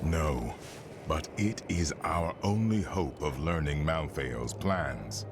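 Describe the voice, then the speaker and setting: A man's voice: extremely low pitch, slow, noisy background. A man playing a grave, resolute sage in a video game, speaking solemnly. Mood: grave, urgent. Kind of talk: video game cutscene acting.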